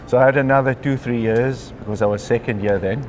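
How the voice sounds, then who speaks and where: A man in his fifties with a South African accent, telling his story in a relaxed conversational interview, recorded on a lapel mic in a large glass atrium. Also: mid-range voice, fairly quick, a little hiss or hum. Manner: chatty, frequent ums and clears throat. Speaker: interviewee, mycologist.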